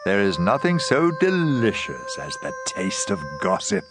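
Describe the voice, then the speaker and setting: Deep-voiced man reading scripture slowly. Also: huge pitch swings. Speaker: scripture narrator. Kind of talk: dramatized scripted audiobook narration. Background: plucked guitar music.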